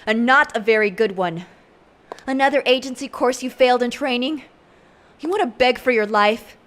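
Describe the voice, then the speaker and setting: A woman's voice: on the high side, moderate pace, a bit bright, close to an everyday voice. A woman voicing a tough female agent who coldly interrogates a traitor while dying. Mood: menacing. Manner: cold, menacing, clipped.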